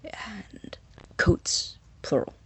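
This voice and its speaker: An actor playing an earnest, obsessive young scientist in an audio drama, dictating an experiment log in formal, hesitant phrases with a slightly husky voice. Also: male voice, a bit bright. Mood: musing. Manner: formal wording, hesitant, halting.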